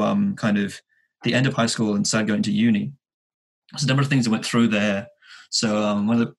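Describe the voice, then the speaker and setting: A man in his twenties with a husky voice, chatting casually as a guest, hesitant and dryly joking. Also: fairly low pitch, poor audio. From Sydney, Australia. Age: 20s. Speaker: podcast guest, engineering student. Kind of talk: casual chat, telling his own story.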